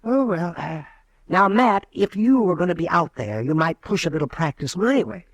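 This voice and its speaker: An actor playing a folksy, chuckling old frontier doctor in a 1950s radio drama. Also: male voice, sits high, animated, normal speed, no echo. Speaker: town doctor.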